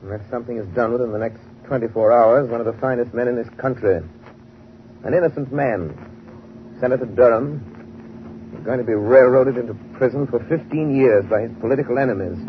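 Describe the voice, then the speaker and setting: An actor playing a calm, shrewd gentleman crime-fighter in a 1930s radio drama, speaking in a measured, deliberate and articulate way. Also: medium pitch, lightly acted. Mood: grimly resolute. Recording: noisy, muffled 1930s radio recording.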